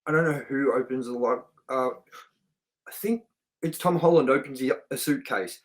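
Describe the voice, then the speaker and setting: A man's voice: mid-range voice, moderate pace. A man hosting a casual film review chat, speaking in an informal, chatty way with some swearing. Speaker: podcast host and film reviewer.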